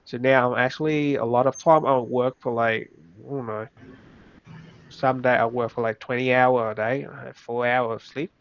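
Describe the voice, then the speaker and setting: A young man from Vietnam with a Vietnamese accent, speaking English as a second language in a casual interview, halting, with many restarts. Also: mid-range voice, steady tone, clear, resonant tone, dry recording. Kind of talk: casual reflective chat. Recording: slightly dull, dry recording.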